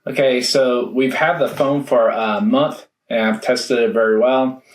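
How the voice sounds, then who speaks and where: A man giving a casual, hands-on product review, thinking aloud in a halting way. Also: mid-range voice, steady tone. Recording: roomy echo, faint background noise.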